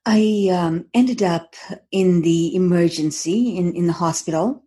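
Husky-voiced woman from Tanzania, measured. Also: on the low side, warm tone. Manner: thoughtful, measured, frequent ums and uhs. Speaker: guest, author. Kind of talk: interview conversation.

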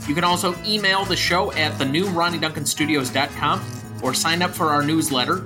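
A man's voice: a little high, fairly quick, music in the background. A young American man talking about films as a podcast host. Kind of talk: film podcast discussion and sign-off.

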